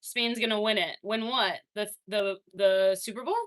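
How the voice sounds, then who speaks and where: A young adult woman streaming, casually chatting with her viewers and reading their messages, laughing often and swinging between excited and quiet. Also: mid-range voice, normal speed. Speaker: livestreamer reading chat. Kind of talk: casual livestream chat.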